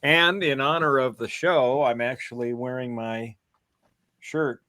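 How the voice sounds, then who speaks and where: A man's voice: mid-range voice, steady tone, unhurried, pushed and bright, dry recording, clean studio sound. A man hosting a casual podcast chat in a rambling, hesitant way. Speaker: podcast host.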